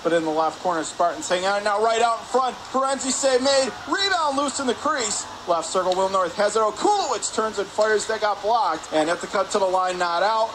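A man giving live radio-style hockey play-by-play in clipped phrases, getting excitable on big plays. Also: high voice, normal speed, hardly any pauses. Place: indoor ice hockey arena. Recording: clear rink noise behind. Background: arena ambience and noise.

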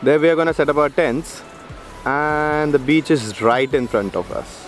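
A man's voice: on the high side, moderate pace, soft background music. A young man in his twenties with an Indian English accent, chatting to viewers in a casual travel vlog, filmed outdoors on a handheld camera. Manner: chatty, addresses viewers as guys, frequent uh fillers. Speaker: travel vlogger. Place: outdoors at a beach campsite. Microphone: camera mic, handheld selfie framing.

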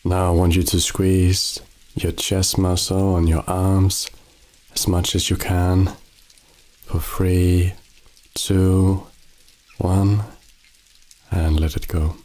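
A man with a very low, mellow voice leads a sleep meditation, speaking slowly and softly with a flat, even delivery. Rain patters steadily behind him. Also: rough recording. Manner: slow, soothing, calm instructions. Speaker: meditation guide. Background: rain sounds.

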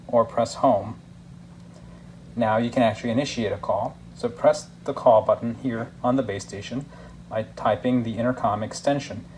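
A man's voice: medium pitch, darkish tone, rough recording. A man narrating a casual hands-on product tutorial as a demonstrator, explaining things step by step in an instructional way. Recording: clear background noise, room ambience.

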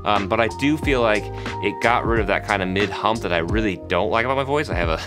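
A man's voice: fairly low pitch, quick pace, music in the background. A man in his thirties with a nasal voice, chatting casually to camera about gear in a small home studio, close to the mic, with frequent fillers. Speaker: video host testing microphones. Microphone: studio microphones close in front.

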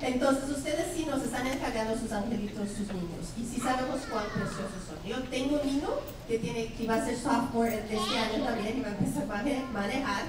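A woman's voice: a little high, a little fast, background noise. A middle-aged woman from Brazil, a native Portuguese speaker with a Brazilian accent, giving a chatty, playful welcome speech to parents as school principal on a handheld mic. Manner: chatty, playful, asks the audience questions. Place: large school cafeteria hall. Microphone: handheld microphone. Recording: echoey hall, distant camera mic.

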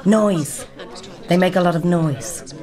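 A woman's voice: lowish voice, takes it slowly, warm tone, a faint crowd. A woman playing an exasperated, insistent girlfriend with an Irish accent in a naturalistic audio drama. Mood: strained politeness. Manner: scolding, insistent. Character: exasperated, nagging girlfriend, socially polite.

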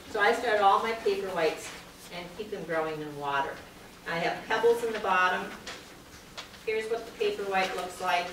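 A middle-aged woman leads an informal home decor class in a large meeting room. She talks in a chatty, practical way with plenty of ums. She is recorded from a camera at the back, so her voice sounds distant and very echoey, with the audience heard around her. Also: mid-range voice. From Minnesota. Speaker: master gardener presenter. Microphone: far camera at the back of the room. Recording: echoey room, far from mic, audience noise.

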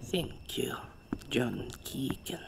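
A woman doing a gravelly old medium, slow and ominous. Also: very deep voice, lively intonation, whispered, full character voice. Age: older. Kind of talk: audio drama acting.

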